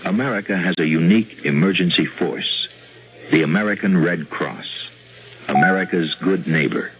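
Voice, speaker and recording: A man reading a scripted radio public service announcement in a solemn, deliberate manner. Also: low voice, breathy edge. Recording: dull, noisy old radio recording.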